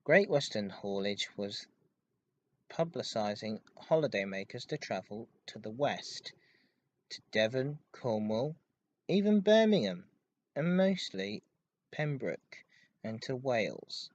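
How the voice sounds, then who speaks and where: A man hosting a hobby podcast, giving an informative enthusiast monologue in a halting way with stressed intensifiers. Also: medium pitch, big pitch swings, muffled recording. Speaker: podcast host. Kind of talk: informative enthusiast monologue about railway history.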